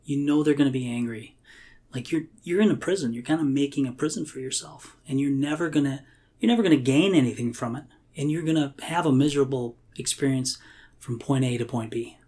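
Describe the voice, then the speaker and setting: Husky-voiced man in casual reflective chat. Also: medium pitch, fairly quick, dark tone. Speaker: IT worker.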